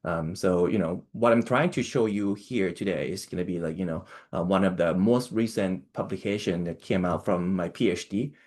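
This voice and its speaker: Young adult man from Thailand, low voice, halting seminar delivery full of fillers. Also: a little mellow. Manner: halting, many fillers and restarts. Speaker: postdoctoral researcher giving a talk. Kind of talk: online seminar talk.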